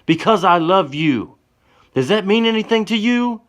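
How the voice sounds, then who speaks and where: A man voicing a passionate, defiant young woman in a told story, his voice pushed high and strained with emotion. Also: moderate pace, lightly acted. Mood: heartbroken. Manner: pleading, emotional outbursts.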